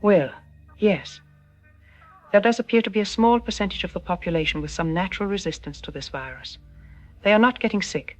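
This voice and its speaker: A woman playing a solemn, earnest doctor giving deliberate expert warnings on a news broadcast in an audio drama. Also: mid-range voice, soft background music, close to an everyday voice. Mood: solemn, urgent. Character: solemn female university doctor warning of pandemic. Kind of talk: scripted interview in an audio drama.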